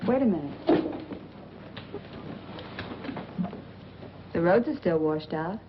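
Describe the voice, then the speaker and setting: A woman's voice: on the low side, expressive, moderate pace, close to an everyday voice. Actress as wisecracking flirty 40s noir woman, small town and restless, muffled film sound w/ some noise. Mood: urgent. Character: worldly, teasing small-town woman itching to leave. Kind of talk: 1940s film noir acting.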